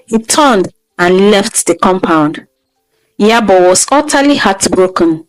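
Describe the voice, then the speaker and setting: A woman's voice: medium pitch, rough recording. Woman narrating a folktale over music. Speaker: storyteller, narrator.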